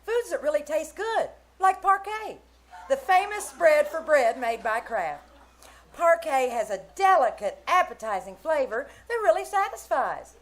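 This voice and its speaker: A woman's voice: high voice, expressive, a little slow. A woman announcer giving an earnest, scripted sales read in the style of a 1940s radio commercial.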